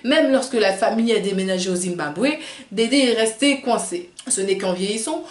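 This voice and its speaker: A woman's voice: medium pitch, animated, moderate pace. Echoey-voiced woman narrating a video. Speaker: video host. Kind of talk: scripted narration with read-out quotes. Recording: roomy, echoing sound.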